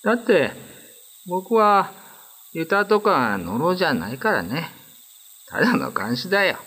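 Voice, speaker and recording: A man's voice: high voice, sing-song, unhurried, a bit bright, breathy edge, voice pushed up, exaggerated voice. A man playing a kind, modest young male prison guard in a radio drama, with casual, boyish, reassuring speech. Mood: modest, gentle. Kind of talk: Japanese radio drama acting.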